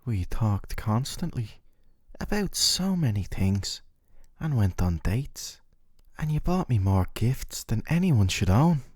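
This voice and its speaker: Guy playing a smug clingy yandere boyfriend, gentle and coaxing, mellow voice, super close dry mic. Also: medium pitch, big pitch swings, slow pace, dry recording. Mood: adoring, smug. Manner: soft, coaxing, articulate, teasing tag questions. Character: possessive, gentle yandere dating-sim boyfriend, doting and smug. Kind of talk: scripted ASMR roleplay monologue to the listener.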